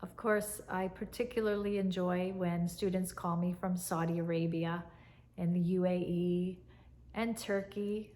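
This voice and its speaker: Soft, slow-speaking woman by the fire. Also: lowish voice, steady tone. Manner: warm, soft-spoken and unhurried, with fond reflective pauses. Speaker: English teacher, channel host. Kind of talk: slow, gentle fireside chat to camera. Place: living room by a fireplace, indoors. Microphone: camera at chest height, seated.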